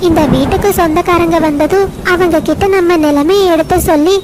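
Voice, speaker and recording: A woman voicing a clever young female bird in a cartoon, reassuring and level-headed, recorded over loud background noise. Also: extremely high pitch, fairly even intonation, fairly quick, pitched above the performer's own voice. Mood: calm.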